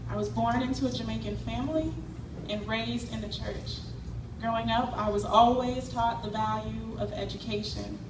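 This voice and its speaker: A woman's voice: on the high side, fairly even intonation, normal speed. Earnest woman speaking in an echoey hall. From Cincinnati. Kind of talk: prepared public speech. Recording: echoey hall, far mic, noisy.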